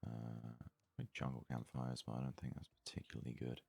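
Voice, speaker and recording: A man speaks in a very deep, husky murmur with little rise or fall in pitch. He is hosting an ASMR let's play, softly thinking aloud at an unhurried pace, close to the mic. His delivery stays level and gentle throughout. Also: flat delivery, dry recording. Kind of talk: soft-spoken ASMR gaming commentary.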